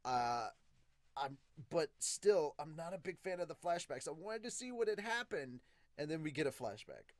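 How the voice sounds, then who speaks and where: Animated man reviewing, close and dry. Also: on the high side, moderate pace, no room sound. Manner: animated, blunt, swears freely. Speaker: host of a manga review podcast. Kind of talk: casual reaction and review commentary.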